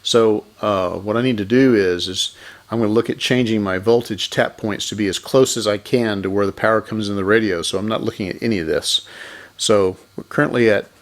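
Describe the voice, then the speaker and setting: A man talks in a fairly low voice with plenty of vocal fry. He explains hands-on repair work without a script. He reasons step by step and corrects himself along the way. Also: fairly quick. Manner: methodical, thinking aloud with self-corrections. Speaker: electronics repair host. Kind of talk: unscripted hands-on repair commentary.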